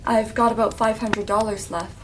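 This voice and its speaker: A woman playing a manipulative young femme fatale who pleads for help in a breathy, theatrical way, in an amateur audio drama. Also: mid-range voice, flat delivery, moderate pace, noisy background, lightly acted. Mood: guarded.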